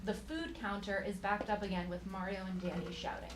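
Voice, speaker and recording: A woman's voice: mid-range voice, steady tone, brisk. A woman reading stage directions as scripted narration at a live comedy table read. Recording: echoey theater, clear background noise.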